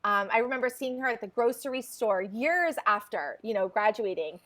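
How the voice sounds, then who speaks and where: A woman's voice: on the high side, lively intonation, normal speed, fairly bright tone. A woman in her forties, a podcast guest, telling her story in a chatty way with frequent laughs. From New Jersey. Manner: chatty, laughs often, restarts phrases. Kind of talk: casual interview chat.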